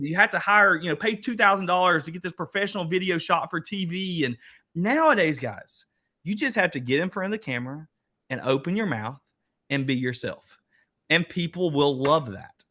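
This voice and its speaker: Chatty Eastern Kentucky man, bright voice. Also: high voice, animated, normal speed. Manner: chatty, folksy, restarts and speaks to the audience as "guys". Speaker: host. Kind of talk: casual workshop talk.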